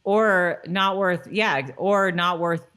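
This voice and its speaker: A woman's voice: fairly low pitch, steady tone, takes it slowly, a bit bright, clear, resonant tone. A woman co-hosting a podcast in a casual, informal, conversational style. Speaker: podcast co-host. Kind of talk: casual rewatch chat.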